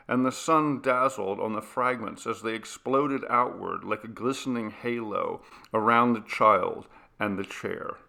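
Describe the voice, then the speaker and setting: Gravelly, forceful male horror narrator. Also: lowish voice. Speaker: audiobook narrator. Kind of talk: dramatic scripted horror story narration.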